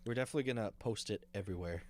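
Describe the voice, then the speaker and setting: Low-voiced young man, laid-back podcaster. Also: rapid-fire. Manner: laid-back, rambling, says "like" a lot. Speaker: podcast co-host. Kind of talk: casual chat.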